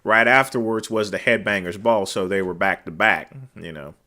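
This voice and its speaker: Loud, bright-voiced man livestreaming. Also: on the low side, moderate pace. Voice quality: naturally loud, cutting voice. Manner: rambling, filler-heavy, trails off quietly at phrase ends. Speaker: livestream host. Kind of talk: casual chat reading viewer comments. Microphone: desk microphone.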